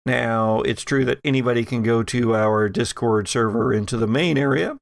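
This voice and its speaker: A man hosting and game-mastering a tabletop podcast, talking in a measured way with a storyteller's flair. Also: mid-range voice, vocal fry, dry recording, studio quality. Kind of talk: casual chat and narrated game recap.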